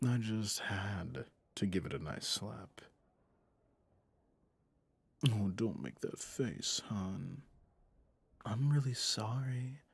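Man playing flirty, dangerous mafia boss for ASMR: unhurried, husky, intimate, close and very dry sound. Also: no room sound, heavily acted. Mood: amorous, playful. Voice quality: low, husky, often dropping to a whisper. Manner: slow, teasing, intimate, with pet names. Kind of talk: ASMR roleplay acting. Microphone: close studio microphone, dry.

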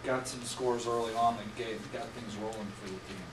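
A man reporting on sports, asking long, measured questions at a press conference. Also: fairly low pitch, steady tone. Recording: echoey room, distant mic, noisy.

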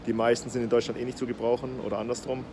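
A man's voice: medium pitch, level tone, very fast. Man vlogging from a noisy airport. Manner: hesitant, many fillers, upbeat. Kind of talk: casual vlog talk to camera. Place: airport terminal, indoors. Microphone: phone camera at arm's length.

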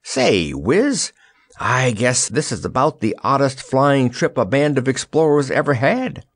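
A man's voice: medium pitch, big pitch swings, measured pace, no echo, clean studio sound, voice pushed up. A man voicing a teasing, boastful straw scarecrow in a children's storybook, with a jaunty, mocking manner.

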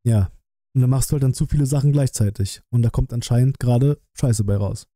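A man's voice: mid-range voice, brisk, dark tone, no room sound. A man in his thirties from Germany chatting casually as a livestream host, blunt and colloquial, thinking aloud, close to a desk microphone. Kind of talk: casual livestream chat and trailer reaction. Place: small decorated streaming room indoors. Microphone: close desk microphone, headset worn.

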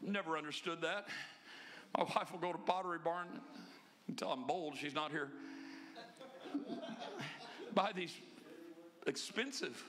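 A man in his fifties preaching a sermon in a church sanctuary, emphatic and often shouting, with quick joking asides. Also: a little high, crowd noise. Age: 50s. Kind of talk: energetic Pentecostal sermon. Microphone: handheld microphone.

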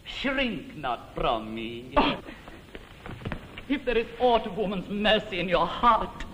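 A man's voice: high voice, very slow, background noise. A man playing a timid, lovesick comic suitor in an operetta, pleading in patter and singing a mournful ballad. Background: orchestra.

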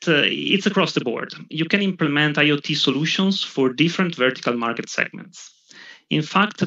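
A man in his thirties with a slight Italian accent, presenting in a webinar from a home office over a video call, often halting with fillers and restarts. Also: on the high side, creaky, pushed and bright. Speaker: product marketing head presenting. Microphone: webcam video call. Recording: dull lo-fi video call audio.

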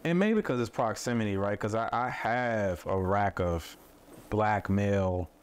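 A man who makes mental health content, talking thoughtfully in a relaxed conversation, with many fillers and restarts. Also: fairly low pitch, unhurried, clear, resonant tone. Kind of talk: casual conversation. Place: studio set with a table.

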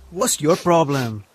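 Mean young bully brother, sneering, animated, husky, radio play. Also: male voice, a little high, no echo, exaggerated voice. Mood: taunting, scornful. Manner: sneering, mocking. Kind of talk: radio drama acting.

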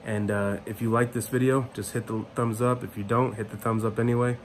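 Man, thirties, middle pitch, casual unscripted vlog talk, halting phrasing, phone at arm's length, small room. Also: flat delivery, a little hiss or hum. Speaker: vlogger. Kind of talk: casual vlog sign-off to camera.

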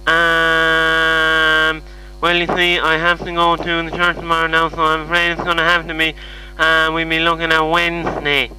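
A man's voice: a little high, completely flat delivery, moderate pace, sharp tone, clear, resonant tone. A man with an Irish accent voicing a confused, apologetic, hard-of-hearing middle-aged caller, hesitant and repeating himself in a prank phone call. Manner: muddled, hesitant, repetitive. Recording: humming phone call recording.

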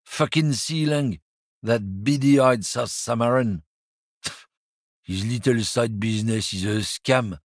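A sneering bigoted lorry driver. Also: male voice, medium pitch, slow pace, dry recording, studio quality. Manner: boastful, sneering, crude. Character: bigoted middle-aged male lorry driver. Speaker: video game character.

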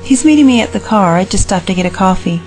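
An actress as a practical, open-minded businesswoman in an audio drama. She talks in a measured, polite way at a middling pitch, with soft music behind. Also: lively intonation, natural voice. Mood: wry, firm.

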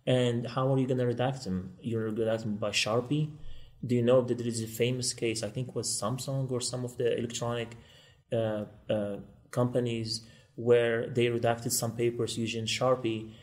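Male guest from Morocco, mid-pitched, explains quickly and earnestly on a podcast. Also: level tone, a little mellow. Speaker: law school technology guest. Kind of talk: podcast interview.

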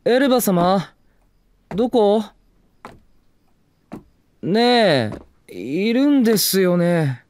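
A man's voice: high voice, drawn-out words, pauses often, full character voice. An actor playing an eager, affectionate, puppyish younger man in a Japanese drama CD. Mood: searching, affectionate. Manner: pleading, excitable, murmuring inner monologue.

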